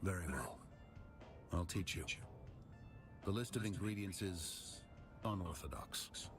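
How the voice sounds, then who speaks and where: A stern swordmaster for a video game, low in pitch. He speaks formally and with measured authority, as a teacher would. Music plays clearly behind him. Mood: stern, instructive. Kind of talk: video game dialogue acting.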